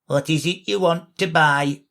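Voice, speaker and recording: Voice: medium pitch, steady tone, a little slow, vocal fry, a bit bright, pitched above the performer's own voice. A reader voicing an old female sheep who keeps a shop and speaks in a dry, matter-of-fact way. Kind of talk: storybook reading.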